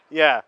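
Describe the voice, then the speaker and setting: A man in his twenties answering a campus interview, hesitant and playful. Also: muffled recording. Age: 20s. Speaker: student interviewee.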